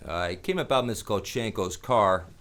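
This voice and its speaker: A man's voice: fairly low pitch, a little slow, fairly bright tone. A man playing a cynical, wisecracking hard-boiled private detective in a noir radio play, wry and slangy.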